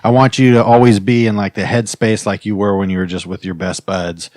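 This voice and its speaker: A man's voice: lowish voice, level tone, a little fast, a little mellow, dry recording, studio quality. A man hosting an improvised comedy podcast, rambling in slangy, playfully earnest chat. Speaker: podcast host.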